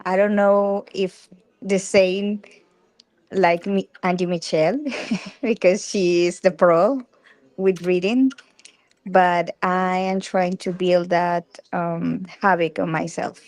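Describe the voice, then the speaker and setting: Halting woman from Colombia, low and dry. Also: fairly even intonation, a little mellow, dry recording. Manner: halting, repeats words as she starts. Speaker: book club member. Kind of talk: self-introduction on a video call. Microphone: video call.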